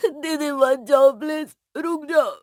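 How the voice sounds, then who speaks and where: A woman's voice: on the high side, moderate pace, dry recording, studio quality, natural voice. Woman playing a cheeky, whiny younger brother. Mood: tearful.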